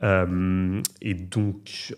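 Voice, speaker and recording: A man's voice: low voice, little pitch movement, extremely slow. A man who represents a company in France, explaining its markets with confidence in a business interview.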